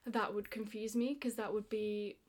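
A young woman, a native Italian speaker from Germany, chatting as a podcast guest, laughing often and trailing off softly at the ends of her thoughts. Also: medium pitch, level tone, normal speed. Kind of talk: casual chat.

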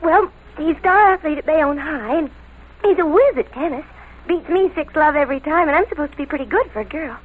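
A cute American schoolgirl of seventeen speaks in a high, girlish voice. She is naive and gushing, in the manner of old radio drama. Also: lively intonation, fairly quick, low-quality recording. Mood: dreamy, gushing.

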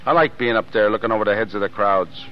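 An actor playing a tough, wisecracking, footloose American drifter with gruff slang, in 1930s radio style. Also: male voice, fairly low pitch, quick pace, natural voice. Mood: breezy. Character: tough, footloose, wisecracking Depression drifter with hidden soft heart. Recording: muffled, hissy old radio recording.

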